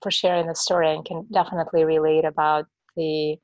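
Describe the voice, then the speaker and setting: A woman hosting a video call interview, asking soft, measured questions from home. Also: low-pitched, little pitch movement, no echo, dull audio. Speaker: host interviewer. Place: home room indoors. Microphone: video call webcam.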